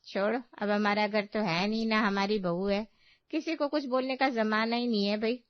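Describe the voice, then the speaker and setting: A woman's voice: mid-range voice, normal speed, smooth, no echo, highs cut off, close to an everyday voice. A woman playing a meddling, disapproving middle-aged aunt in a family story, pointed and mock-sympathetic in manner. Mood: taunting. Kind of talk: acted dialogue in a Hindi family story.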